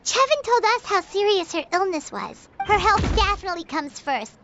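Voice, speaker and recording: A woman's voice: very high voice, lively intonation, normal speed, highs cut off, noisy background. A woman voicing an eager, sweet young girl character in a fantasy video game. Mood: caring, reassuring.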